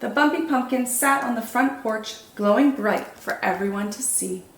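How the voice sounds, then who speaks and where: A woman hosting storytime, reading picture books in an expressive, animated way for young children. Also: on the high side, normal speed, roomy sound. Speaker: children's storytime host and reader. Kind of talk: expressive picture-book narration.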